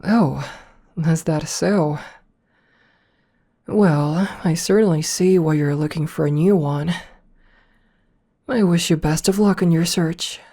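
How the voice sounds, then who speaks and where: A woman playing a rich, possessive, seductive female CEO in an ASMR roleplay, softly formal and coaxing, with a politely commanding manner. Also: sits low, full character voice. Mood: politely attentive. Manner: slow, softly formal, coaxing with pet names.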